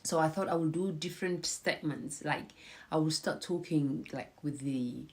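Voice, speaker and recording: Low-voiced woman with a cold. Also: normal speed, a little mellow. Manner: chatty and encouraging, addresses viewers directly. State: has a cold. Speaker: host. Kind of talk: casual live-stream talk.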